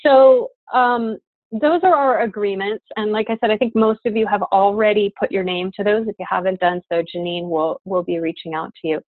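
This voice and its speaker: A woman's voice: mid-range voice, steady tone, moderate pace, no room sound. Woman teaching over a thin call line. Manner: earnest, lots of fillers. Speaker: course facilitator. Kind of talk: leading an online class call. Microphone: video call. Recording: dry, phone-like call audio.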